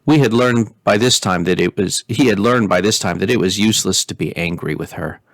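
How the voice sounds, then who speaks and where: A man reading a story aloud in an expressive, measured way, as a pastor hosting storytime. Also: fairly low pitch, dry recording. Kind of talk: storybook reading with informal recap.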